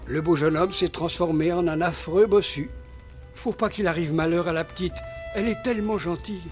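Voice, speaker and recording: A man's voice: high-pitched, fairly quick, faint music behind, natural voice. A man voicing a kindly, lonely hunchback in a French cartoon, speaking in a simple, plaintive, caring way. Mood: sorrowful.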